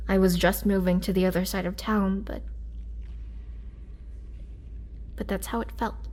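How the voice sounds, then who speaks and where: A woman playing a sorrowful, vulnerable young vampire and former farm girl, speaking hesitantly and softly in an intimate roleplay monologue, sometimes near a whisper. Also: mid-range voice, natural voice. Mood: wistful, subdued. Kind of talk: roleplay audio drama, intimate first-person monologue.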